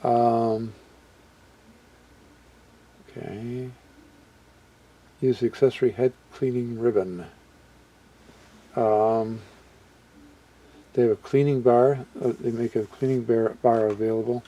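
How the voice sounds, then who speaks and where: A man presenting a hobby video in a casual, unscripted way, halting as he muses aloud and often trailing off mid-thought. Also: mid-range voice, fairly even intonation, warm tone, lo-fi sound. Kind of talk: casual unscripted product walkthrough.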